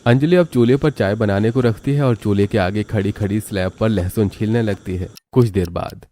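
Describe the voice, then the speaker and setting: A man's voice: fairly low pitch, brisk, dark tone. A man narrating a scripted cartoon story as its storyteller.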